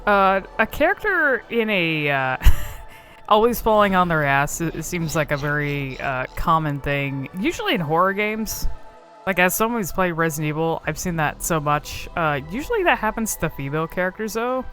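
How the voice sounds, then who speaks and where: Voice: mid-range voice, animated, normal speed, fairly bright tone, soft background music. A video creator giving casual, informal commentary on a game, exploring and thinking aloud.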